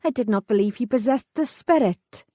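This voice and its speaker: A woman's voice: fairly high pitch, animated, moderate pace, dark tone, dry recording, clean studio sound, pitched above the performer's own voice. A woman voicing a wry, headstrong young noblewoman, speaking in a lilting, old-fashioned way.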